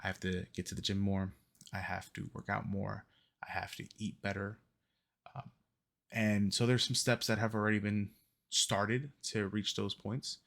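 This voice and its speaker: Low gravelly man, rambling vlogger. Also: flat delivery, moderate pace, fairly bright tone. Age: 30s. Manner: rambling, many ums and self-corrections. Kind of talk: casual fitness vlog talk.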